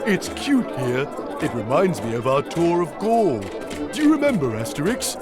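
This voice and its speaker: A man's voice: fairly high pitch, big pitch swings, a little slow, over music, crowd noise. A man voicing a big, naive, simple-hearted strongman in a cartoon game, with a childlike, guileless manner. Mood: naive, cheerful. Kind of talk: animated cartoon game voice acting.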